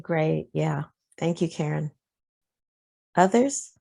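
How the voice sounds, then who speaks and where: A woman with a lowish voice leads a workshop over a video call. She talks in a loose, conversational way, often pausing on "um" as she gathers her thoughts. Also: gravelly. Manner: relaxed, conversational, with frequent fillers. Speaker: presenter leading a discussion. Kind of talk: online workshop discussion.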